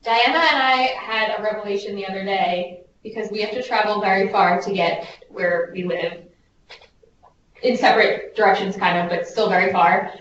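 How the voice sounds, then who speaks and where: A woman's voice: medium pitch, steady tone, moderate pace, a bit bright. A young woman, a volunteer, giving casual, effusive advice on a group call. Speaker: Peace Corps volunteer. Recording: distant, echoey, dull, hissy conference call.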